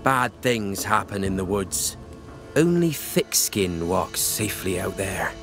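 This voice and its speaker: An actor playing a sardonic, suspicious village gatekeeper in a game cutscene, grudging and foreboding. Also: male voice, sits low, lively intonation, slow pace, a bit bright, soft background music, heavily acted. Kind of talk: dramatic game cutscene acting.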